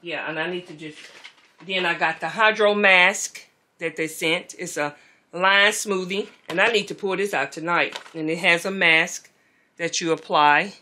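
A woman's voice: fairly low pitch, unhurried. A middle-aged woman, chatting casually to viewers as she tidies her beauty room, filmed on a camera mic in a bedroom. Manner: chatty, relaxed, talks to viewers as y'all. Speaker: beauty vlogger. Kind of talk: casual chat while tidying. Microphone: camera mic, moving around.

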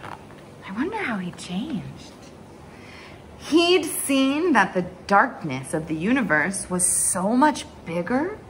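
A young woman reading a children's picture book aloud in an expressive, dramatic way, drawing out words and dropping into hushed asides. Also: mid-range voice, slight background noise. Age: young adult. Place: small bright room indoors at home.

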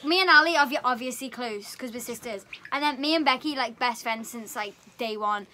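High bright chatty girl. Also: fairly quick. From Merseyside, England. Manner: loud, chatty, talks a lot. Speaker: vlogger, dancer. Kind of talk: casual group chat. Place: small bedroom indoors. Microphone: camera close in front.

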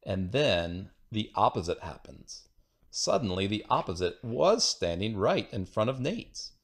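A man reads a picture book aloud to children. His voice sits on the low side and swings widely in pitch, with an expressive storyteller's delivery. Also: a little slow. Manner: expressive storytelling with acted dialogue. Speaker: storybook reader.

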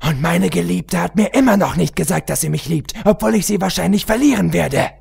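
A man's voice: high voice, fairly quick, vocal fry, darkish tone, husky, pitched above the performer's own voice, full character voice. A man voicing a brash, embittered young female pony fighter in a storybook, emphatic and aggrieved. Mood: bitter, angry.

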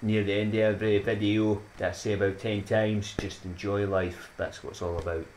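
A deep-voiced Scottish man in his thirties talks into a desk mic in a small room at home. He rambles in a loose, upbeat way, laughs at his own jokes and slips in motivational sayings. A faint hiss sits under the voice. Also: flat delivery, brisk, darkish tone. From Scotland. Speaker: YouTuber. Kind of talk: casual chatty vlog outro.

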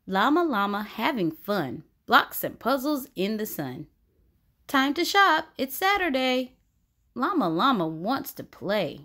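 A woman reading a rhyming picture book aloud for children in an expressive, sing-song way. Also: fairly high pitch, unhurried. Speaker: children's story reader. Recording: music bed under the voice. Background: background music.

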